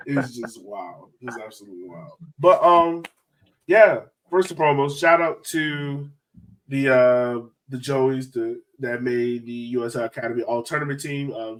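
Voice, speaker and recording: A man's voice: mid-range voice, poor audio. A man hosting a sports podcast in a casual, chatty style. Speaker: podcast host.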